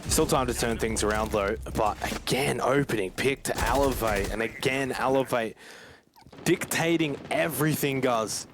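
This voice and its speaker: A man's voice: medium pitch, moderate pace, background noise. A man commentating live on a shooter match, conversational and repeating his points for emphasis. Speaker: esports commentator.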